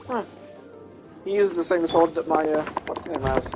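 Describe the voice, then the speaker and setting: A woman's voice: sits low, moderate pace, rough recording. A woman streaming a video game, giving casual, offhand commentary as she plays. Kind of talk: casual live gameplay commentary. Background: faint game music.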